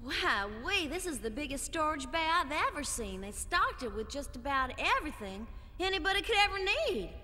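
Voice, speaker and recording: Animated dub: impulsive androgynous youngster, blunt and teasing, lively delivery, long reverb. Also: female voice, sits high, normal speed, few pauses, heavily acted. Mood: curious. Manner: cocky, blunt, excitable. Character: brash, teasing, impulsive androgynous young alien space cadet. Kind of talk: animated film English dub acting. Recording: reverberant film soundtrack with music behind.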